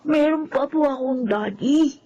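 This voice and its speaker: An actress voices a little Filipino schoolchild in an emotional drama, innocent and wistful. The voice is high and childlike, with slow, halting phrasing. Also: female voice, dark tone, highs cut off. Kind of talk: emotional Filipino radio-style drama acting.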